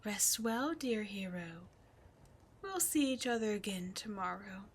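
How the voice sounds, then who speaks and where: A woman voicing a seductive, scheming female demon queen in an audio drama, teasing and taunting, dropping into breathy whispers. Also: mid-range voice, expressive, a little slow.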